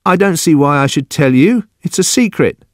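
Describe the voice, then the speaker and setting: A man's voice: fairly high pitch, expressive, normal speed, dry recording, studio quality, voice pushed up, close to an everyday voice. A man voicing a nervous, strange, defensive younger farmhand in an audiobook story. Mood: defensive, secretive.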